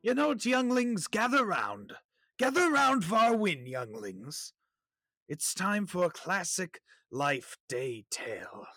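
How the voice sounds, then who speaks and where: A man playing a theatrical father in an audio drama, telling children a rhyming holiday tale in a grand, drawn-out manner. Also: high-pitched, very animated, bright, forceful tone, no echo, studio quality, faint music behind, full character voice. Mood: jovial, commanding. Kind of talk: storytelling in rhyme, audio drama.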